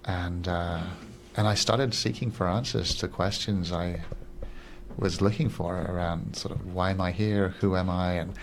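A Swiss-born man in his thirties, a guest coach on a radio interview, speaking in a soft, reflective way and often repeating words as he gathers his thoughts. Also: low-pitched, steady tone, a little slow, a little hiss or hum. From Switzerland.

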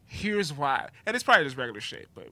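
Male co-host, fast-talking, bright and profane, lots of swing. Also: fairly high pitch, dry recording. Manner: excitable, profane, animated. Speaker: podcast co-host. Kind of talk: casual comedy podcast chat.

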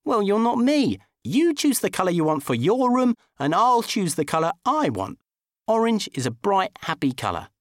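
Man playing a whiny, high-voiced, indignant best mate. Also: very animated, dry recording, clean studio sound. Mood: cocky. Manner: whining, protesting, quick to take offence. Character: sulky, indignant, dim young male best mate, cocky. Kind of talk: comic audiobook dialogue.

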